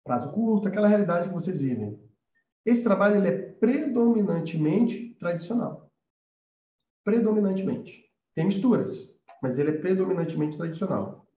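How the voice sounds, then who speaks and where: A man's voice: sits high, expressive, normal speed, warm tone, a little hiss or hum. A man with a Brazilian Portuguese accent giving an informal live-stream lesson as an animation teacher, explaining emphatically and repeating points for emphasis. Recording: roomy echo, slightly dull sound.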